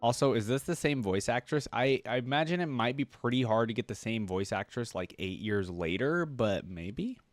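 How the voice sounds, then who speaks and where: A man's voice: mid-range voice, lively intonation, normal speed, hardly any pauses, dry recording, studio quality. A young man hosting a reaction video, chatting casually with lots of fillers.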